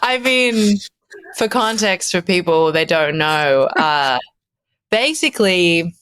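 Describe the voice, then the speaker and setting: A woman's voice: lowish voice, expressive, slow pace, fairly bright tone, clear, resonant tone, no room sound. A woman in her thirties with an Australian accent, chatting casually as a podcast guest and laughing often. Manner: chatty, laughs often, restarts mid-sentence. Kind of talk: casual conversation.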